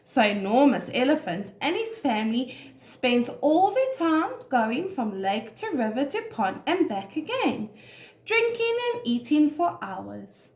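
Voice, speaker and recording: Slow, high-voiced woman storyteller. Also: echoey room. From South Africa. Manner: expressive, addressing young children. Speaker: nursery school teacher. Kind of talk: reading a children's story aloud.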